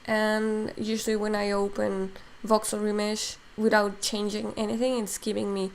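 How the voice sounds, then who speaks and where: A woman's voice: medium pitch, monotone, normal speed, rough recording. A woman from Mexico, a Spanish speaker talking in English, casually thinking aloud as she demos digital sculpting on a live stream. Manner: thinks aloud while working, many fillers.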